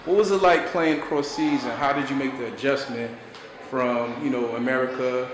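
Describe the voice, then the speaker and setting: A man's voice: medium pitch, fairly even intonation, normal speed, distant mic. A man hosting a casual basketball interview, speaking in informal street slang. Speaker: interviewer, show host. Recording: echoey room from afar, background noise.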